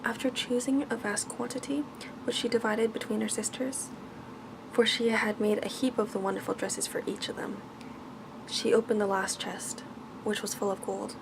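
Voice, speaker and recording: A woman reading a bedtime story in a soft, hushed ASMR style that at times turns whispery. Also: mid-range voice, flat delivery, moderate pace, vocal fry, noisy background.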